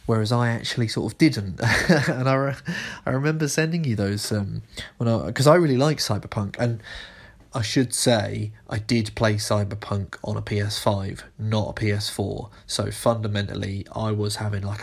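Lowish-voiced man chatting about games. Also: steady tone, moderate pace, vocal fry, breathy edge. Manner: informal, conversational, qualifying opinions. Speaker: podcast host, game reviewer. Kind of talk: casual chat about video games.

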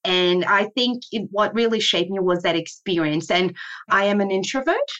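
A woman from Brazil, a native Portuguese speaker, tells her story in an easy interview. Her voice sits at an ordinary pitch and she speaks reflectively, restarting sentences now and then. The audio is a bit dull and lo-fi. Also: animated, normal speed, fairly bright tone. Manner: reflective, with restarts and light laughs. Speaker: guest, board member and consultant. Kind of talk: relaxed interview conversation.

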